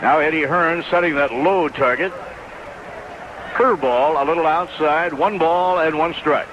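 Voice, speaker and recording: A man's voice: on the high side, a little slow. A man announcing baseball play-by-play and game statistics on the radio. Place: stadium broadcast booth. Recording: dull noisy vintage AM radio.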